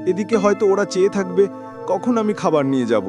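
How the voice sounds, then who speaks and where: A man's voice: high-pitched, expressive, a little fast. A man voicing a despairing poor village father in a cartoon story. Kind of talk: Bangla cartoon story dubbing. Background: loud music.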